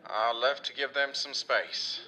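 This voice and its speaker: An actor playing an earnest, rough-edged working man, folksy, halting and sincere, in an audio drama monologue. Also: mid-range voice, pushed and bright, low-quality recording. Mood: wry, fond. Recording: music behind the voice.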